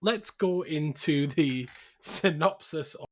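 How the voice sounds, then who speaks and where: A man's voice: a little high, slow pace. A man hosting a podcast, talking through film notes in a casual, chatty way. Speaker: podcast host.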